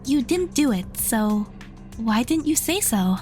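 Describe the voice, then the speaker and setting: A high, animated young woman, voiced by an actress, speaks with earnest hope and care. She is sincere and gently insistent, in the manner of audio drama dialogue, over faint music. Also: unhurried.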